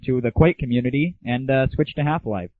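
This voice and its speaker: A man's voice: medium pitch, a little fast. Man ranting on thin, phone-like lo-fi audio. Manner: crude, sarcastic, exaggerated griping. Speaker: host of a gaming audio show. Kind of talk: informal solo rant and commentary. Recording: dull lo-fi 1990s internet audio, phone-like.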